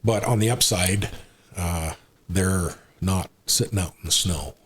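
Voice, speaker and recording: A man in his sixties with an American accent, chatting casually as a podcast guest in a rambling, unhurried way. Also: deep voice, slight background noise. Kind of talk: casual conversational interview.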